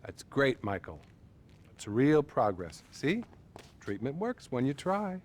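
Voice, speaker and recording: An actor playing a patronizing male therapist, measured and placating, in a naturalistic video game style. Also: mid-range voice, very animated. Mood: encouraging.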